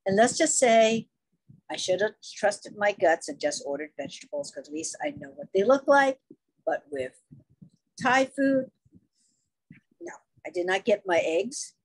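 Lively gravelly woman telling a story. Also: medium pitch, unhurried, pauses often, dry recording, rough recording. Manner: chatty, storytelling with asides. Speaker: table topics speaker. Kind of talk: impromptu humorous speech. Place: online club meeting.

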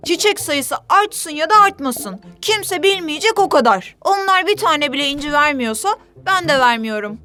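A woman voicing a stubborn, selfish and bossy young sea creature in a children's cartoon, defiant and quick to argue. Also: high voice, expressive, bright, forceful tone. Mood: bossy, firm. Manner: defiant, argumentative, quick to take offense.

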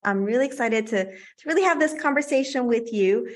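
A woman hosts a podcast with a fairly high voice. The sound is close and dry, with very little room on it. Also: animated, brisk, dry recording. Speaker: podcast host. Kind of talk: scripted introduction and interview.

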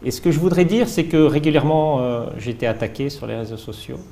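A man in his fifties from France, with a French accent, answering interview questions slowly and carefully with many fillers, recorded on a lapel mic in a formal room. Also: medium pitch, creaky, warm tone. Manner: measured, hesitant with many fillers and restarts. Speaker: ambassador being interviewed. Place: formal reception room indoors.

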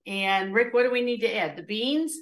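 A woman's voice: a little high, animated, normal speed, fairly bright tone. A woman in her fifties hosting a live cooking show from her home kitchen, chatting casually and explaining each step as she goes.

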